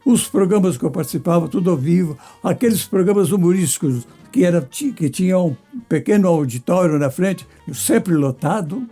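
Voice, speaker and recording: A man's voice: high-pitched, lively intonation, moderate pace, few pauses, mellow, faint music behind. An older man with a Brazilian accent, a former radio performer reminiscing in conversation. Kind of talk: reminiscing interview talk.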